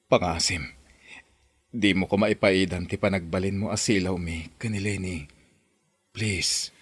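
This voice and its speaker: A devoted uncle in love, in a radio drama. His voice is on the low side, with earnest, heartfelt delivery. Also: steady tone, a little slow, husky, no echo. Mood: pleading. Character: doting, pleading uncle and suitor of the nanny. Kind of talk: Ilocano radio drama acting.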